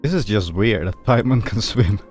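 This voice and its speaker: A man gives casual gameplay commentary in a low voice that swings around a good deal. Game music plays clearly behind him as he talks. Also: animated, moderate pace. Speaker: streamer playing a video game. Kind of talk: casual live game commentary and reading aloud.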